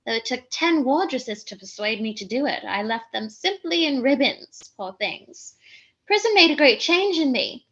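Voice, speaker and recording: A very high-voiced, haughty lady. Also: lively intonation, moderate pace, a bit bright, muffled recording. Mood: grandly confiding. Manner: haughty, arch, declamatory. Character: flighty, self-important young lady, brazen and grandiose. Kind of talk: theatrical radio comedy monologue and dialogue.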